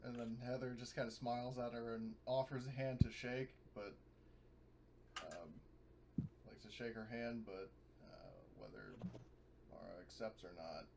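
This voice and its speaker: A man's voice: mid-range voice, lively intonation, unhurried, creaky, pauses often. A man casually improvising narration in a tabletop roleplaying game, tentative and meandering in his delivery. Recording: faint background noise.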